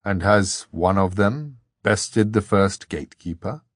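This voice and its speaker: A very old abbot in an audiobook fantasy tale, acted by a male narrator, speaks with solemn kindness. The voice is deep and reassuring, measured and grave, in a dry, close sound. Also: expressive, no room sound. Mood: grave, calm.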